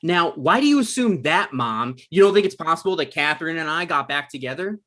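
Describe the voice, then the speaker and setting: Man playing a slightly high-voiced eager hapless writer. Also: lively intonation, a little fast, a bit bright. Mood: wounded, hopeful. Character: eager, hapless aspiring TV writer living with his mother. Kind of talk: comedy table read.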